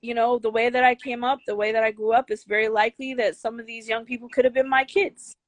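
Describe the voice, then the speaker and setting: An older woman with a high, girlish voice, speaking bluntly and emphatically as an interview guest, swearing freely. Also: flat delivery, fast, no echo. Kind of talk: candid interview talk.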